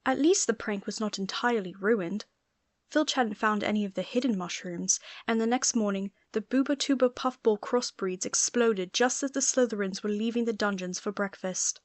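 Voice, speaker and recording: A woman's voice: mid-range voice, normal speed, dry recording. A woman reading scripted story narration as an audiobook narrator.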